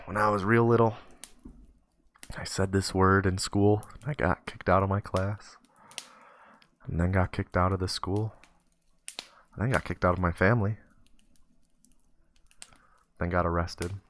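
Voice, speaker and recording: A man's voice: sits low, steady tone, takes it slowly, long pauses, fairly bright tone. Man voicing a nervous, hesitant homeless boy. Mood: glum, confessing. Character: nervous, downcast boy drifter fresh from prison. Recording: noisy, keyboard clicks.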